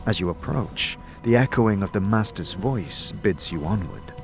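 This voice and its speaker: A man with a fairly low voice narrates a fantasy tale as a game master would. His delivery is dramatic and scripted, with music clearly playing behind him. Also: animated, measured pace. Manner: dramatic storytelling.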